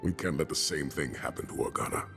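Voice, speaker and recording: A stern, seasoned army colonel for a video game. The voice sits very low and speaks in clipped, authoritative orders. Music plays clearly behind it. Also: male voice, quick pace. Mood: grave, commanding. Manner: clipped, authoritative military delivery. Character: gruff seasoned male army colonel, stern strategic advisor.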